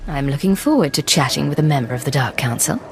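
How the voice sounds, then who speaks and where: An actress playing a cool-headed, defiant Imperial agent in a video game, with clipped, dry delivery. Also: female voice, low-pitched, lively intonation, fast talker, slight background noise.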